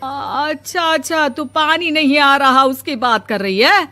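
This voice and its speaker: A woman voicing a proud, sharp-tongued middle-aged mother-in-law in a cartoon, with a scolding, haughty way of talking. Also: high-pitched, unhurried, soft background music, pitched above the performer's own voice, exaggerated voice.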